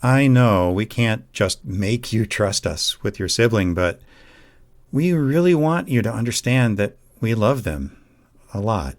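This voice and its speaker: A man plays a devoted boyfriend in an intimate audio drama. His voice sits a little low. He is gentle and earnest, with a casual, conversational delivery. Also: lively intonation, measured pace. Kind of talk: amateur audio roleplay acting.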